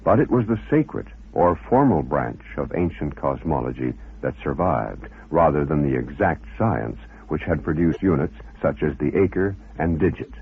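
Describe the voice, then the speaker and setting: A man reading scholarly narration in a formal, measured, expository manner. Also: rumbling low, animated, husky. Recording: muffled phone-like old radio recording.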